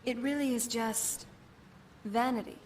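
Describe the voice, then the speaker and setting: A woman's voice: on the high side, fairly even intonation, a little mellow, far from the mic, a little hiss or hum, lightly acted. Woman acting a contemplative, bookish fantasy philosopher. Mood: musing. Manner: contemplative, deliberate, articulate. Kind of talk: video game character monologue.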